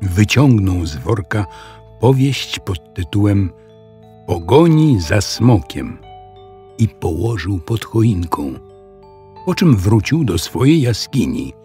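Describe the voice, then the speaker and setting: A man's voice: on the low side, big pitch swings, slow, dark tone, faint music behind. A man narrating a children's audio story as a scripted storyteller.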